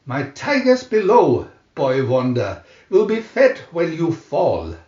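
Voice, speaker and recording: Man putting on a high-pitched scheming cat villainess. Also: wildly swooping pitch, takes it slowly, darkish tone, full character voice. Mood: menacing. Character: scheming female cat-themed villainess, taunting and menacing. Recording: dull lo-fi, roomy echo.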